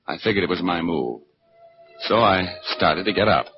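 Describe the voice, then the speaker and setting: Wry, slangy male gumshoe, low voice, vintage muffled radio drama sound. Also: lively intonation, normal speed, faint music behind. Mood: tense narrating. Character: wisecracking tough male private detective, narrating and scheming. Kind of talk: 1940s radio detective acting, first-person narration. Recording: dull 1940s radio broadcast.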